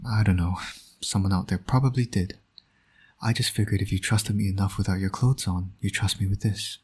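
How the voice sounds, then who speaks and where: Husky, teasing villain dad played by a man. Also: low voice, steady tone, brisk, a little mellow. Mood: teasing persuasion. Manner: teasing, wry, flirtatious. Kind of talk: intimate scripted audio roleplay.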